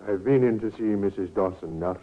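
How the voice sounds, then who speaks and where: An actor playing a courteous, regretful doctor in an old-time radio drama, professional and slightly hesitant. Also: male voice, on the low side, brisk, natural voice. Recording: muffled vintage radio recording.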